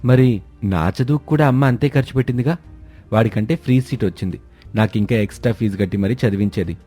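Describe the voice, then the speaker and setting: A man's voice: fairly low pitch, fast talker, warm tone, faint music behind, natural voice. A man voicing a dutiful, thrifty young husband in a cartoon story, earnest and firm. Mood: explaining.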